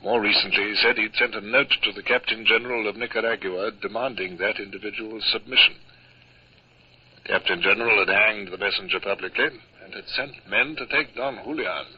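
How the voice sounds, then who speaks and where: An actor playing a reserved British naval captain, with formal, clipped literary diction, in a 1950s radio drama. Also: male voice, fairly low pitch, fairly quick, husky. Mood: grave, reflective. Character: reserved, dutiful British naval captain narrating his adventures. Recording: dull old radio recording.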